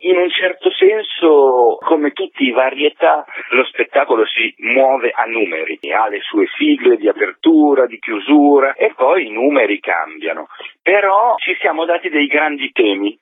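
A man's voice: mid-range voice, very animated, unhurried, slightly rough, no room sound. A man being interviewed by phone as a theatre maker, explaining his work in a reflective, articulate way over a tinny, muffled line. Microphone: telephone.

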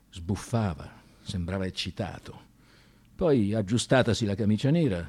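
A man narrating a dramatic scripted story in an expressive, theatrical radio-theatre manner. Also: low voice.

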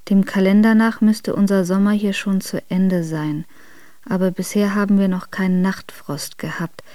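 A woman playing a devoted young exiled noblewoman in a radio drama, reading her letters in a measured, intimate and formal way. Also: mid-range voice, steady tone, warm tone, dry recording, natural voice. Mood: quietly content.

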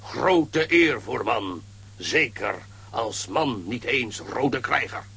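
A man's voice: medium pitch, expressive, takes it slowly, vocal fry, sharp tone, a little hiss or hum, exaggerated voice. A man playing a proud, stern chief who speaks in clipped, broken sentences and grunts in a comic children's radio play. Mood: solemn, stern.

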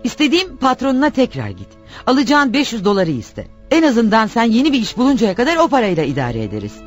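Radio play wife: reproachful, insistent, sarcastic, very animated delivery, faint music underneath. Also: female voice, a little high, fast. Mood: insistent, persuading. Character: nagging, reproachful wife pushing her jobless husband. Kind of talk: radio theatre acting.

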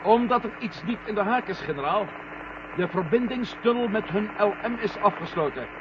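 A headstrong astronaut in a vintage radio play. His voice sits high for a male, and he talks in a commanding, impatient way. The old recording is dull, with clear noise over it. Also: lively intonation, normal speed. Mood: businesslike. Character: headstrong, curious male astronaut on a moon mission. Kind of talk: 1970 Dutch radio drama acting.

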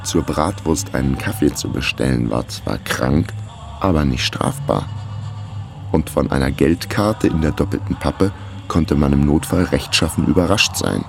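A man's voice: rumbling low, measured pace, creaky, faint music behind, heavily acted. A man playing a wry amateur detective who narrates his case in a dry, laconic way in a radio crime drama.

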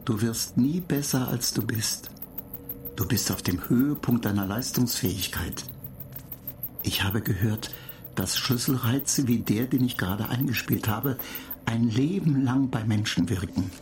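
A man playing a computer data agent in a radio play, matter-of-fact, clinical and measured, calmly pedantic and dryly superior. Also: mid-range voice, close to an everyday voice. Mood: coolly superior. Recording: clear noise bed behind the voice.

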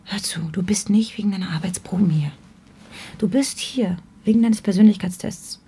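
An actress playing a cool, manipulative television boss in a German radio drama, intimate yet bossy. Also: female voice, medium pitch, normal speed, mellow, breathy edge. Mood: cool, calculating. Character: cool, commanding female TV boss, seductive and manipulative.

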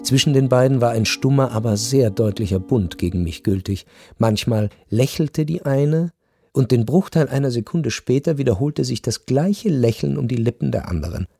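A man narrating a first-person literary radio drama in a measured, reflective way. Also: mid-range voice, lively intonation, creaky, warm tone, husky, dry recording, soft background music.

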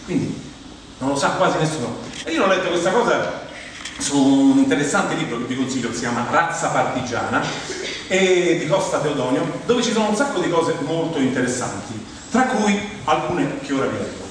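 A man performing a humorous theatrical monologue to an audience, speaking emphatically with deliberate pauses. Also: on the high side, animated. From Rome, Italy. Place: indoor theatre stage, live performance. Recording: distant, noisy, very echoey theatre hall.

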